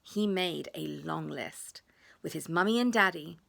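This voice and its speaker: A woman reading a children's storybook expressively, with drawn-out emphasis and hushed moments. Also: low-pitched, gravelly. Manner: dramatic pauses, drawn-out emphasis, drops to hushed tones.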